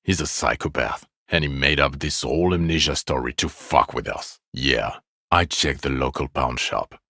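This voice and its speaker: An actor voicing a bitter, exasperated police detective in a video game, with scornful, biting sarcasm. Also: male voice, a bass voice, moderate pace, vocal fry, bright, forceful tone, no echo, studio quality, exaggerated voice. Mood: angry, bitter.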